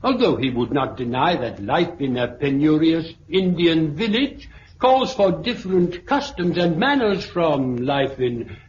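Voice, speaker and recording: An actor playing an elderly British sociology professor, pompous and pedantic, lecturing a meeting in a 1950s radio drama. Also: male voice, fairly high pitch, sing-song. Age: older. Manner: pedantic, deliberate, oratorical. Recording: muffled, noisy, echoey 1950s radio recording.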